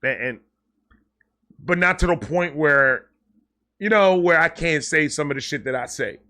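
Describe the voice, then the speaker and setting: A man talking casually and off the cuff to his viewers, excitable and rambling, often repeating and stammering over words, with slangy, sweary phrasing. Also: a little high, a little slow. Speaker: online video creator and host. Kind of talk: casual unscripted vlog talk to viewers.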